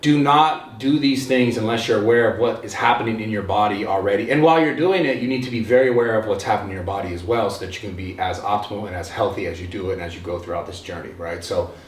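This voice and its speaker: A man's voice: lowish voice, fast, few pauses. A man in his thirties reading a sponsor ad, earnest and urging listeners directly. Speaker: podcast host reading an ad. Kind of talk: ad read. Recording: roomy sound, mic at a distance.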